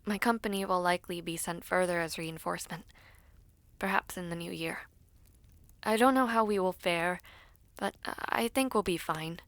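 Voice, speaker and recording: A woman's voice: fairly low pitch, moderate pace, no echo. A woman acting as tender young knight, close and dry. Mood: earnest, weary. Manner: tender, wistful, softly playful, sometimes breathy. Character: young woman knight, brave, devoted, tender, reading her letters aloud. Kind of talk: audio roleplay, letter narration.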